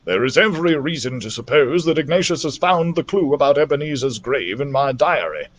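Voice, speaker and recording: A man's voice: mid-range voice, expressive, a little fast, lightly acted. A man voicing a learned adventurer doctor in a storybook, a formal and authoritative mentor with precise phrasing. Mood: cautious, serious.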